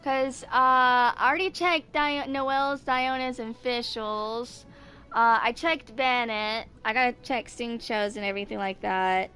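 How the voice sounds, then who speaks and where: A woman in her twenties giving casual, rambling game commentary, thinking aloud in a halting, distracted way. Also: on the high side, fairly even intonation, sharp tone, soft background music. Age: 20s. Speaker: gaming video creator.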